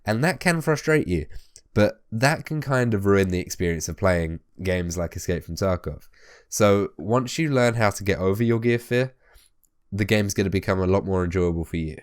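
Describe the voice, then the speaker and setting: A man hosting a gaming video, a little hoarse as his voice comes back, talking tips in a chatty way and swearing casually. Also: low-pitched, animated, normal speed. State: hoarse, recovering from losing his voice. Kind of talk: casual spoken game tips.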